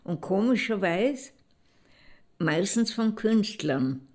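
A woman casually reminiscing, halting and correcting herself as she goes. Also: mid-range voice, animated, a little mellow.

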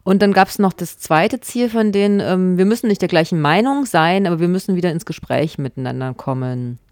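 A woman's voice: on the low side, lively intonation, fairly quick, a little mellow, no echo, studio quality. A woman, co-hosting a casual discussion podcast, chatty and questioning. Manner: chatty, questioning, laughs often. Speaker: podcast co-host.

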